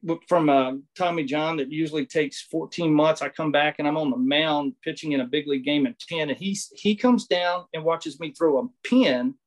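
A man's voice: a little high, moderate pace, hardly any pauses, rough recording. A middle-aged man from Southwest Virginia speaks in a slightly raised voice. He talks loosely in an interview, circling back, restarting sentences and leaning on fillers as he reminisces. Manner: rambling, many restarts and fillers. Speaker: guest, former baseball pitcher. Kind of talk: casual interview storytelling.

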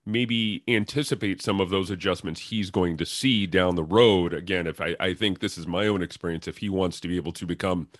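A man's voice: sits low, fairly quick, few pauses, dry recording, studio quality. A man hosting a sports podcast, delivering scripted intros and interview questions.

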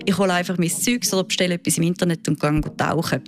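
A woman's voice: on the low side, fast. Chatty Swiss German woman. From Switzerland. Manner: lively, chatty storytelling with frequent fillers. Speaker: diving instructor. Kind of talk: interview answers.

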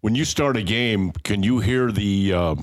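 Deep voiced guy cohosting a casual chat, close dry sound. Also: a little slow, dry recording. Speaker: interview co-host.

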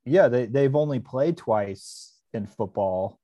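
A male podcast co-host speaks at a middle pitch in a loose, conversational way. He strings his questions along with "you know" and "um". His voice is recorded close and dry, with no room around it. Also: animated, slow pace, dark tone, no room sound, dull audio. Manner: easygoing, filler-heavy questions. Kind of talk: casual podcast chat.

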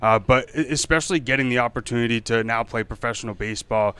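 A man's voice: medium pitch, quick pace, a bit bright, smooth. A young adult man hosting a sports interview podcast, asking long rambling questions with plenty of fillers. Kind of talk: casual interview chat.

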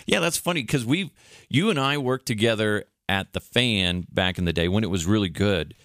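A man's voice: fairly low pitch, animated, moderate pace, fairly bright tone, no room sound. American man chatting on a dry, close podcast. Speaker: podcast host, former radio producer. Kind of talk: casual podcast chat.

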